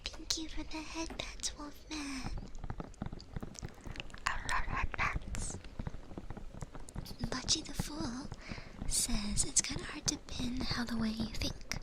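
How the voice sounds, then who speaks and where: Soft whispering young woman, close mic. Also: high-pitched, slow pace, pauses often, rough recording. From United States. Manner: gentle, with small laughs and restarts, greeting chat viewers. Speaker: ASMR streamer. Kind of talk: whispered ASMR live stream chat. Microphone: close sensitive ASMR microphone.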